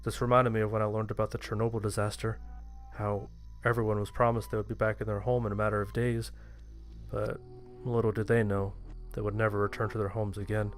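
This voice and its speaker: A man's voice: fairly low pitch, level tone, fairly quick, faint music behind. A man reading a scripted first-person horror story as its narrator.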